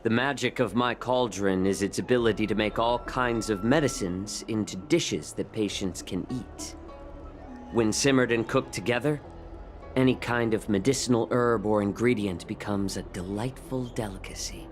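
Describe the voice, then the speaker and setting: An actor playing a genial, teasing healer and cook in a video game, composed and playfully explanatory. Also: male voice, on the low side, moderate pace, soft background music, natural voice. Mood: calm explaining. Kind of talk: video game character acting.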